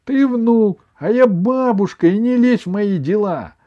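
A man's voice: high-pitched, lively intonation, dull audio, voice pushed up. Man as a bossy, emphatic old grandmother. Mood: indignant, bossy. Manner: emphatic, scolding, drawn-out plaintive delivery. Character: bossy, doting, indignant, tearful old grandmother. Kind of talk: children's story reading.

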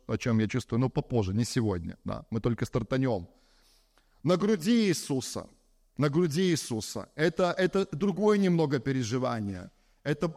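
A man's voice: on the high side, darkish tone, big-room sound. A male pastor preaching a sermon, emphatic and direct, repeating phrases for stress and speaking to the congregation.

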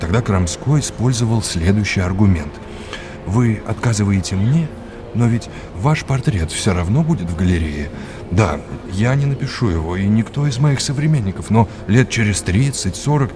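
Actor doing polite learned art lecturer guy, low voice, old-fashioned and teachery, audio drama, noisy background. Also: natural voice. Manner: courteous, old-fashioned, didactic. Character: courteous, erudite older male art lecturer and guide.

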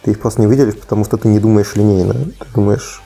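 Fast, dark-voiced man arguing his point. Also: lowish voice, fairly even intonation, gravelly, soft background music. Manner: quick, argumentative, corrects others bluntly. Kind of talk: casual panel discussion.

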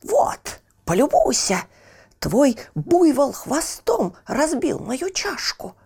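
A woman's voice: sits high, wildly swooping pitch, takes it slowly, mellow, pitched above the performer's own voice, heavily acted. A woman voicing a sly, boastful male barber and informer in a children's fairy tale, wheedling and blustering. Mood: angry, blustering. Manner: wheedling with customers, blustering when crossed.

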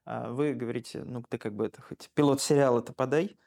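A man's voice: medium pitch, steady tone, fast, creaky, a little mellow. A man working as an interviewer, putting questions casually in a hesitant, roundabout way.

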